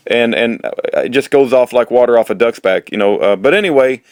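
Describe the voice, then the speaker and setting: A man's voice: mid-range voice, brisk, dry recording, studio quality. A middle-aged American man speaking as an informal host, rambling in a folksy, preacherly way with repeated phrases. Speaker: host, prisoner-support activist. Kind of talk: informal, rambling monologue to viewers.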